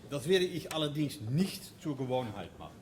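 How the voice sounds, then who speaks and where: A man whose first language is Dutch, giving an earnest, measured formal speech at a ceremony. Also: mid-range voice, very animated, slight background noise. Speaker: orchestra organization director giving a speech. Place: hall at a contract signing ceremony.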